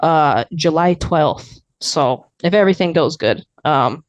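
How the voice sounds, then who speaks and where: A man in his twenties from Arizona, a guest producer and emcee with a fairly high voice, chatting casually and gratefully on a podcast. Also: animated, a little slow, no echo. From Arizona, USA. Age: 20s. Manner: laid-back, rambling, grateful.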